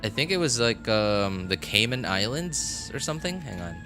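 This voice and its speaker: A man's voice: on the low side, sing-song, normal speed. A man chatting casually on a gaming livestream, rambling and correcting himself as he goes, with a chuckle now and then. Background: background music.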